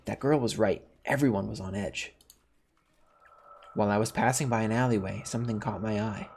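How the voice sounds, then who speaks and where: A man's voice: lowish voice, moderate pace, gravelly, a little mellow, husky. A man hosting a gaming video, reading a visual novel's narration aloud and dropping in casual asides. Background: faint music.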